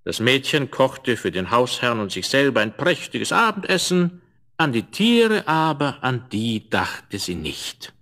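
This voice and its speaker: A man narrating a scripted fairy tale in a measured, expressive storyteller's manner. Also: mid-range voice.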